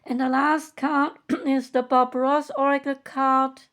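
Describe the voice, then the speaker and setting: A woman reading oracle card messages aloud from a guidebook, in a measured manner with long pauses between phrases. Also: sits high, flat delivery, a bit bright.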